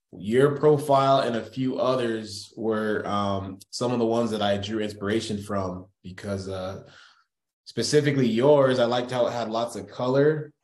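Podcast host guy, lowish voice, relaxed, lots of uh and like, echoey room. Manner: relaxed, hesitant with fillers. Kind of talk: casual interview.

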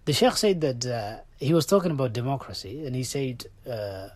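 A man's voice: sing-song, moderate pace, no room sound. Blunt opinionated man, dry close sound. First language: Somali. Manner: opinionated, swings from loud outbursts to low muttered asides. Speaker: podcast host. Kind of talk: solo opinion monologue.

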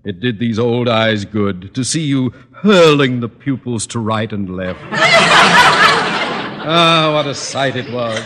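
An actor playing a pompous, blustering older school principal with florid, theatrical delivery in an old-time radio comedy. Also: male voice, mid-range voice, expressive, takes it slowly, very noisy. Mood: gleeful. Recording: old radio broadcast, reverberant hall.

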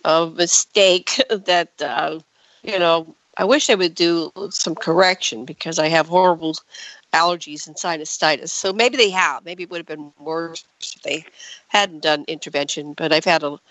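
A woman in her sixties hosting a radio interview, chatty and quick to jump in. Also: sits low, steady tone, fairly bright tone, no room sound, poor audio. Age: 60s. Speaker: radio host.

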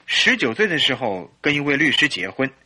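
An earnest husband of thirty-two in a radio drama, polite yet troubled. His voice has a sharp, forceful edge and a rough huskiness, and it moves in lively, expressive swings as he explains himself. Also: medium pitch, brisk, heavily acted, poor audio. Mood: polite, explanatory. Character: troubled 32-year-old English husband, a hop trader, polite and earnest. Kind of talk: Chinese radio drama acting.

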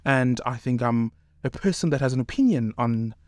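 A young man with a South African accent, a high school teacher speaking earnestly as a podcast guest, peppering his points with "you know". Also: expressive, normal speed, dry recording, clean studio sound. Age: young adult. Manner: earnest, opinionated, many fillers like "you know". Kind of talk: casual podcast conversation.

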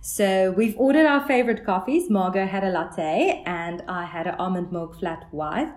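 A woman's voice: medium pitch, animated, normal speed. A woman hosting a casual podcast interview, recorded outdoors on a sidewalk by a coffee shop, full of praise for her guest. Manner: effusive, frequent warm praise. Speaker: podcast host.

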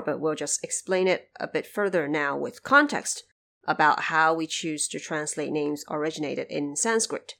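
A woman's voice: lowish voice, a bit bright. A woman podcast host giving a scripted but informal explanatory narration.

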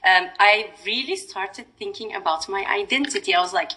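A woman's voice: medium pitch, normal speed, pushed and bright, poor audio. A woman from Belgium, a podcast guest, thoughtfully searching for words with restarts and fillers. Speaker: interview guest. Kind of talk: reflective interview conversation.

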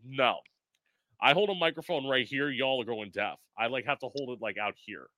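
Male host, sharp bright tone, frank and profane, dry close-miked read. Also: medium pitch, moderate pace, no echo. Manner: frank and brassy, swears freely. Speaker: interview host. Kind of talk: casual interview chat.

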